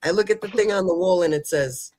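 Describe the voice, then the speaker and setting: A man's voice: sits high, fast talker, a little mellow. A man hosting a call-in show in casual, colloquial chat, often checking that listeners follow. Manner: colloquial, chatty, seeks agreement. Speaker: advice call-in show host.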